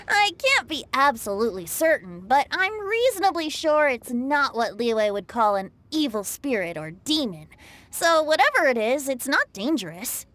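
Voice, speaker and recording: A bright, high-voiced young male bard from a video game, played by a woman. The delivery swings widely in pitch, with flowery phrases and a teasing, breezy charm. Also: sing-song, a little slow, no room sound, exaggerated voice. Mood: playful, teasing. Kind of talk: scripted game dialogue.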